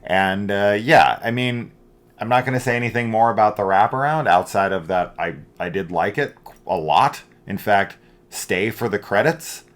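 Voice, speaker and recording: A man's voice: fairly low pitch, moderate pace. A middle-aged man hosting a casual film review, rambling and opinionated, with emphatic points.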